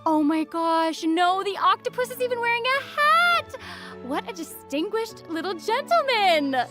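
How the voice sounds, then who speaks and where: A young woman presenting a game showcase with enthusiastic scripted banter and a gushing, animated manner, over light music. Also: very high-pitched, moderate pace.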